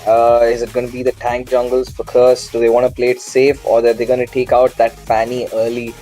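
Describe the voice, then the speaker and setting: A man casting a live esports match, asking pointed questions about the plays, his voice worn from shouting. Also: mid-range voice, monotone, fairly quick, soft background music. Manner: questioning, incredulous analysis. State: strained voice after shouting. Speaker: esports caster.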